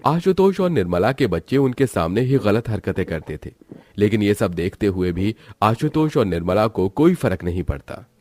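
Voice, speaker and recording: A man's voice: on the low side, very animated, moderate pace, a little mellow, no room sound. A man reading scripted narration for a moral cartoon story.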